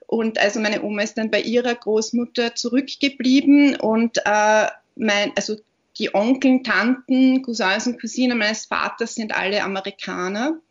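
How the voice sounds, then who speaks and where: Austrian woman talking things through slowly, kinda dull muffled audio. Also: mid-range voice. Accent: Austrian German. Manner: thoughtful, searching for words. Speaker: podcast guest, literary platform founder. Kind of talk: conversational interview answers. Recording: dull, muffled podcast recording.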